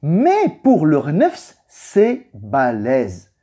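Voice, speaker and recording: Expressive, mellow-voiced man, slightly husky. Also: fairly high pitch, very slow. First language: Arabic. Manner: emphatic, rhetorical questions and repetition for stress. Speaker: vlogger giving a personal reflection. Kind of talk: informal motivational religious talk to camera.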